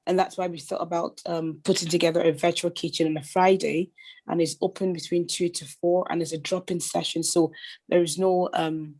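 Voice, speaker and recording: A woman with a husky voice from a sore throat, chatting casually as a participant on a video call. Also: lowish voice, level tone, fairly quick, a little mellow. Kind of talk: casual chat.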